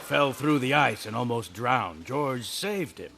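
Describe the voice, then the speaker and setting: A man's voice: medium pitch, expressive, vocal fry, background noise. A man narrating and explaining a story in a radio play. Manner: storytelling, measured. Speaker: senior angel narrating.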